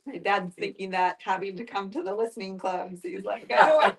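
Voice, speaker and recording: Slow-paced woman, slightly off-mic. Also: medium pitch, gravelly. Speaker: discussion group participant. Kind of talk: casual chat. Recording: distant room microphone.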